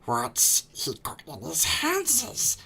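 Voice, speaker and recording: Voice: mid-range voice, huge pitch swings, sharp tone, voice pushed up, full character voice. A narrator voicing a small slimy creature that hisses and whispers to itself, hungry and sinister, in a storybook reading. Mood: wary, curious. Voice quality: hissing, whispery, husky rasp. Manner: slow, drawn-out, sinister muttering to itself. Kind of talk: storybook character voice.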